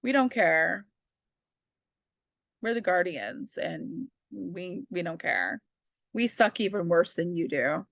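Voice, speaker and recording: A woman's voice: lowish voice, lively intonation, takes it slowly, gravelly, long pauses, fairly bright tone, no room sound, pitched below the performer's own voice, heavily acted. A woman voicing petty, dismissive galactic guardians, bossy and curt, in slangy talk for a comic book retelling.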